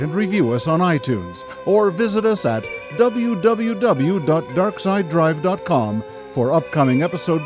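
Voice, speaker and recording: Male radio drama announcer: theatrical, slightly high voice, lively swings in pitch, music underneath. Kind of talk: theatrical scripted radio narration. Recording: live radio broadcast with music behind.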